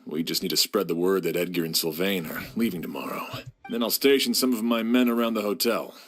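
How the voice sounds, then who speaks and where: An actor playing a weary, fair-minded sheriff in a video game, with a measured, official manner. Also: male voice, sits low, fairly bright tone. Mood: businesslike. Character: weary, fair-minded male sheriff, gruff but reasonable.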